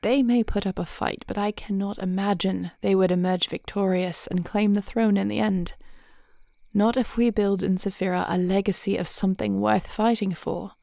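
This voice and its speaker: A woman playing a devoted warrior princess in an intimate fantasy audio roleplay, speaking in measured, formal phrases with a reassuring, thoughtful manner. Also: mid-range voice, fairly even intonation, vocal fry, warm tone, lightly acted. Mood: measured, resolute. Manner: measured, articulate, formal old-fashioned phrasing.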